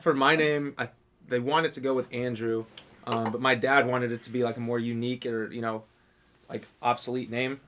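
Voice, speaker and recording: A man's voice: medium pitch, fairly even intonation, fairly bright tone. A man in his twenties, a podcast guest telling jokey stories in casual chat. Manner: fast, upbeat, jokey talker. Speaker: guest, company CEO.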